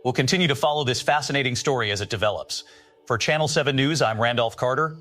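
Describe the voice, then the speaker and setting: A man's voice: mid-range voice, fast, a bit bright, soft background music, close to an everyday voice. A man playing a polished television news anchor in an audio drama, speaking in a formal, composed broadcast style. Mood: composed, inquiring.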